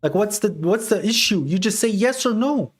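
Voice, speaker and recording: Man, fairly high voice, insistent, repeats questions, heated debate. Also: expressive, normal speed, husky. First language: Arabic. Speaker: debater defending his view.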